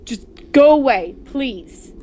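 Frightened man with a bat played by a woman, high-pitched, pleading and crying out, visual novel read, noisy dull mic. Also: lively intonation, slow, mellow. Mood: panicked. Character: panicked, frightened man warding off an intruder with a bat. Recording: muffled, noisy home microphone.

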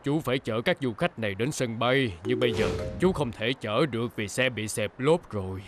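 A man's voice: mid-range voice, lively intonation, normal speed, music in the background, close to an everyday voice. A man voicing a kindly, uncle-like adult tour bus in a children's cartoon, politely explaining. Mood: worried. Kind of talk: animated children's cartoon dub.

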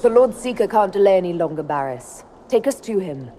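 An actress playing a composed, assertive young heroine in a fantasy game, direct and dry. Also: female voice, mid-range voice, lively intonation, a little fast, warm tone, a little hiss or hum, lightly acted. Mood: firm. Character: cool, assertive young elf woman leading a fantasy inquisition. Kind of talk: fantasy game dialogue acting.

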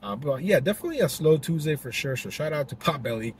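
A man's voice: on the high side, very animated, fast. A young man vlogging while he drives, chatting loosely to the camera with lots of fillers and restarts. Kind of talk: casual vlog talk to camera. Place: inside a car, driving. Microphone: dash-mounted camera. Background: faint car noise.

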